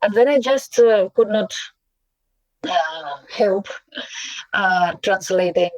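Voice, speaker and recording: A woman around forty, Russian by birth and Russian-speaking from childhood, answering interview questions in a reflective way with frequent pauses and fillers. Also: mid-range voice, animated, no echo, rough recording. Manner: thoughtful, hesitant, many pauses and fillers, soft laughs. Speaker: poet and translator, interview guest.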